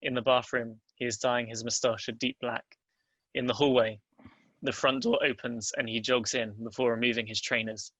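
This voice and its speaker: A man reads screenplay directions as scripted narration. His voice is bright and crisp-edged, fairly flat in pitch, and recorded dry and close. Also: moderate pace, dry recording, rough recording. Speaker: narrator reading stage directions. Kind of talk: scripted narration at a table read.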